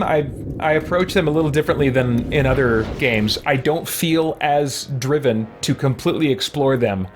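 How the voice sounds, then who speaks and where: A man's voice: fairly high pitch, a little hiss or hum. A man streaming a video game, thinking aloud in casual commentary and chatting with viewers using mild exclamations.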